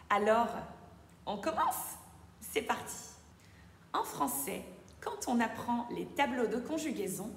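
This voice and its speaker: A woman teaching English in French, with a clear, careful delivery that slows down to model each English word. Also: fairly high pitch, slight background noise. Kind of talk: scripted lesson narration. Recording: echoey room with long reverb, faint ambience.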